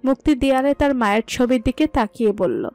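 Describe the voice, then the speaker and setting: A woman's voice: high-pitched, fairly quick, darkish tone, soft background music. A woman reading a scripted story as its narrator.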